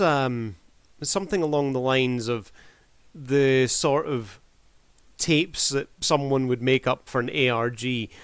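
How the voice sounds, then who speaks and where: A man's voice: medium pitch, a bit bright, no room sound. A man giving casual review commentary as a host, addressing his audience like a showman.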